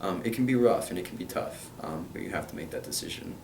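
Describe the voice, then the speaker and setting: Low-voiced teen guy from kentucky, earnest, umms a lot, roomy echo, faint hiss. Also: fast talker, creaky, a little mellow, distant mic. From Kentucky, USA. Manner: earnest, many hesitant fillers. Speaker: interviewee, international school student. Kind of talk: answering interview questions.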